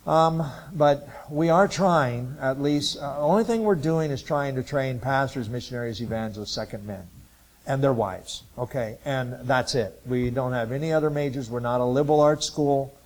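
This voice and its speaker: A man in his sixties, raised in Ohio, talks to a church gathering about his work teaching future missionaries. His delivery is halting and rambling, full of filler sounds and restarts. His voice sits at an ordinary middle pitch for a man. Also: a little mellow, a little hiss or hum, poor audio. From Ohio, USA. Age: 60s. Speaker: Bible college missions teacher. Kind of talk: informal testimony talk to a church group.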